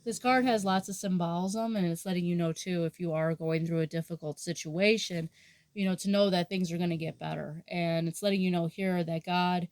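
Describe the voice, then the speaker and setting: A woman reading tarot in a soft, halting way. She sounds nasal and has a sore throat. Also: on the low side, steady tone, no echo. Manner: soft-spoken with frequent fillers and restarts. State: sore throat, under the weather. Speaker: tarot reader. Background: dog snoring.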